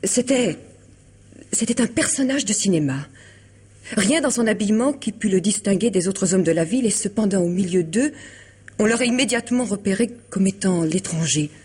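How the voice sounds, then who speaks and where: A woman's voice: mid-range voice, animated, moderate pace, a little mellow, slightly rough. An actress playing an elegant, troubled woman confiding in a lawyer friend in a vintage French radio drama, hesitant yet articulate. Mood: pensive, intrigued. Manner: hesitant, restarting, articulate and formal.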